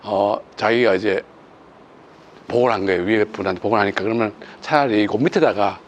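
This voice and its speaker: A man on a discussion panel, telling an anecdote slowly and easily. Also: fairly low pitch, a little hiss or hum.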